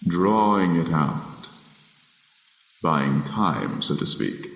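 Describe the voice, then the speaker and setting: A young man acting in a radio drama as a courteous, wry official of death, speaking in measured, polite phrases with droll pauses. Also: low voice, very animated, gravelly, fairly bright tone, roomy sound, in a large hall, slight background noise, heavily acted. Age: young adult.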